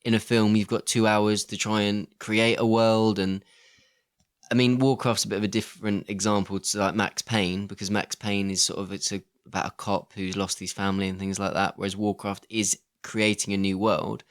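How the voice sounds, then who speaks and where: A man's voice: lowish voice, flat delivery, moderate pace, clean tone. A man co-hosting a podcast, talking conversationally and inquisitively in a casual discussion. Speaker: podcast co-host. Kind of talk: casual discussion, posing questions.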